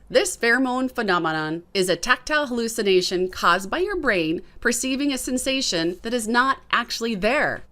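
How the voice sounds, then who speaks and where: A woman's voice: on the high side, a bit bright. A woman reading scripted explanatory side notes as an audiobook narrator.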